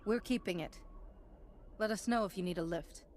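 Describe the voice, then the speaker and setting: An actress playing a steadfast, confident heroine in a video game, composed and assured. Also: female voice, medium pitch, moderate pace, darkish tone, a little hiss or hum, natural voice. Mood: defiant. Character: steadfast, confident female Jedi hero. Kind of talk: video game character acting.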